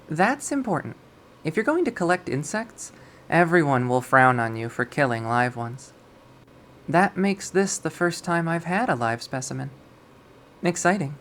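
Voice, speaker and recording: A reader voicing an obsessive, possessive young woman who collects insects, narrating in a measured, wistful, coldly sadistic way for a visual novel. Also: mid-range voice, a bit bright, a little hiss or hum, natural voice. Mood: chillingly matter-of-fact. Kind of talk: dramatic visual novel readthrough.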